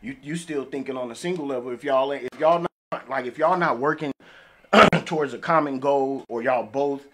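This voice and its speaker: A man's voice: medium pitch, normal speed, a bit bright. A man in a casual podcast discussion, speaking earnestly and emphatically and circling back over his points. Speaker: podcast panelist.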